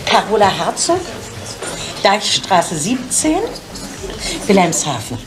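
Older woman customer, lively swooping intonation, chatty, vintage TV drama, roomy film soundtrack. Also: mid-range voice, takes it slowly, music in the background. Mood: pleased. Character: chatty older female customer, eager then dismayed. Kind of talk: 1980s TV film acting.

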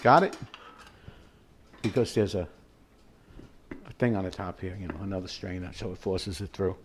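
A man's voice: low-pitched, normal speed, slight background noise. An older man hosting a home cooking show, chatting casually as he demonstrates in his kitchen, rambling with fillers and chuckles. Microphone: fixed camera at mid distance.

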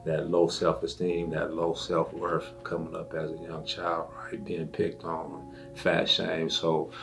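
Man in his fifties, deep and gravelly. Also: monotone, takes it slowly, over music. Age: 50s. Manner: candid, reflective. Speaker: interviewee.

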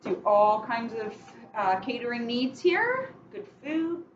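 A young adult woman reading a children's story aloud in an expressive, emphatic way, with casual asides. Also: on the high side, slow. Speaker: police officer reading a picture book. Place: indoors in a catering cafe. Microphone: webcam a short distance away. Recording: muffled, noisy webcam room recording.

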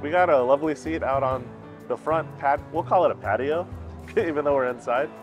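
A man's voice: a little high, fairly quick, highs cut off, faint music behind. Enthusiastic man reviewing food. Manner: enthusiastic, gushing about food. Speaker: food vlogger. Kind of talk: casual restaurant review. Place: busy indoor restaurant patio. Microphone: lapel mic.

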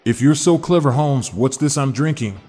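A man hosts a podcast, reading dad jokes one after another in an upbeat, chuckling delivery. His voice sits in a middle pitch and carries a long reverberant tail, as if in a hall. Faint music plays underneath. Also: normal speed, dark tone. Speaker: podcast host.

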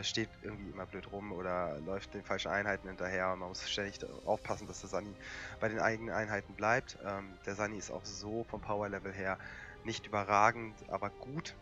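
Young male gamer, hoarse from a cold, low-pitched, bright sharp tone, laid-back rambling commentary, soft game music behind. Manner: relaxed and rambling, many fillers. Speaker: gaming channel host. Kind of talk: casual gameplay commentary.

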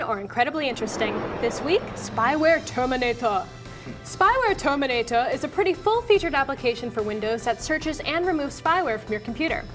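A woman's voice: high voice, fairly bright tone. An American woman in her twenties co-hosting a tech show in a studio, presenting and chatting. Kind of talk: presenting software picks and tech chat. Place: indoor TV studio.

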